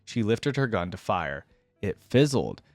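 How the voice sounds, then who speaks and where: A man's voice: lowish voice, big pitch swings, unhurried, no room sound, faint music behind. A man reacting as he reads a story aloud, emphatic in delivery, dropping in casual commentary. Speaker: host of a reaction channel.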